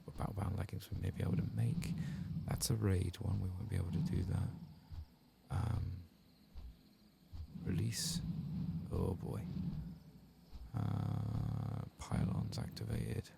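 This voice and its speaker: Male ASMR game streamer: deep, heavily creaky, hushed near-whisper commentary over clearly noisy ambience. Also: animated, unhurried, long pauses. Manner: hushed, often drifting into whisper. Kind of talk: soft-spoken ASMR gameplay commentary.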